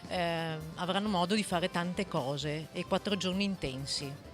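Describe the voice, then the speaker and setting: A woman's voice: lowish voice, unhurried. A woman speaking as a festival director, giving informal interview answers. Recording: music playing behind the voice.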